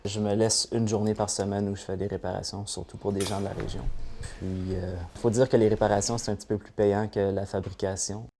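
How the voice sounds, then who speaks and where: Low, flat-voiced man. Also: brisk, slight background noise. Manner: calm, soft-spoken, hesitant fillers. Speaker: guitar maker. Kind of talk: casual documentary interview.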